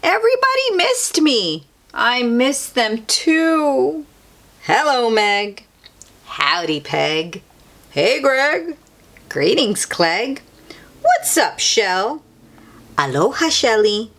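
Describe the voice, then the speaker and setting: An earnest little storybook egg voiced by a woman, theatrical and exclamatory. The pitch leaps about expressively from word to word. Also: sing-song, extremely slow, a bit bright, exaggerated voice. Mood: happy greeting. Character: earnest, anxious good egg, a storybook narrator character.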